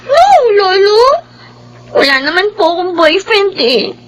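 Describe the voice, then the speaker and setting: A woman's voice: very high voice, animated, measured pace, a bit bright, exaggerated voice. A woman playing a tearful, pleading young daughter in a Filipino radio drama, plaintive and whiny. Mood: tearful confiding.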